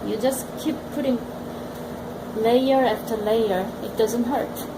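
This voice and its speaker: A woman with a Korean accent, teaching painting and talking slowly and thoughtfully while she works. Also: fairly high pitch, steady tone, roomy sound, background noise. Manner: slow and thoughtful, talks while working. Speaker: art teacher. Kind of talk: painting demonstration. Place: art gallery studio room.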